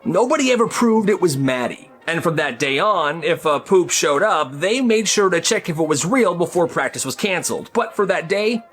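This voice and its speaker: A man's voice: on the high side, expressive, normal speed, fairly bright tone. A man telling comedic stories in an exaggerated way, acting out the characters' lines. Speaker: storyteller. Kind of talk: animated comedic storytelling for short videos.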